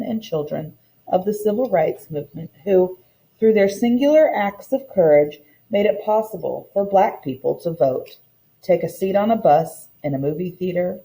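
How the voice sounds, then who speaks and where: A woman teacher giving an expressive storybook read-aloud lesson in an instructive, deliberate manner. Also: on the low side, poor audio.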